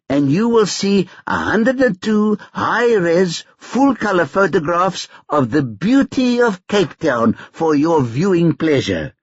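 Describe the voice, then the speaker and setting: A man's voice: high voice, husky, dry recording, muffled recording, pitched above the performer's own voice, full character voice. A man voicing a cocky, crude young male hustler who taunts and swears.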